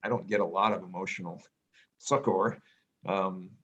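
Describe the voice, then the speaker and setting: A middle-aged man musing in a casual family podcast chat, pausing often with ums and restarts and talking in a loud voice. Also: low-pitched, steady tone, dull audio. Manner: hesitant, many ums and restarts, reflective; says he has a loud voice. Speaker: podcast co-host, parent.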